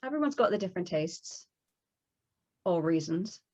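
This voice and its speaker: A woman's voice: on the low side, lively intonation, normal speed, dark tone. A woman at a screenplay table read playing a blunt, wry servant with dry delivery. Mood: dry, guarded. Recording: dull, lo-fi audio.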